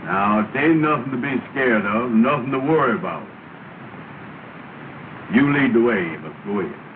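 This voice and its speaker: A man's voice: medium pitch, lively intonation, moderate pace, close to an everyday voice. An actor playing a bossy, falsely confident man who keeps giving orders and reassurances, in a 1930 film comedy. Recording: scratchy tinny 1930 film soundtrack with hiss.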